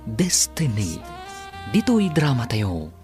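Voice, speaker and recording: A man's voice: medium pitch, huge pitch swings, slow. A man with a Filipino accent narrating a drama serial in a dramatic scripted style. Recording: music under the voice.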